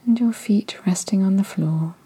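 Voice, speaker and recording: A woman guiding a body-scan meditation in a soft, soothing voice that often drifts into whispers. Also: medium pitch, moderate pace, husky. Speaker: meditation guide.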